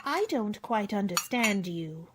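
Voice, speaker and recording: A woman's voice: medium pitch, expressive, slow pace, crowd noise. A woman playing a curious young girl in a radio play, prim, questioning and easily indignant.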